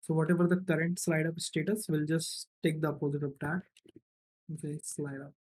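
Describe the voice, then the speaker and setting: A man's voice: on the high side, level tone, normal speed, darkish tone, breathy edge. A man narrating an informal step-by-step screencast as a programming instructor, often checking in with "right" or "okay".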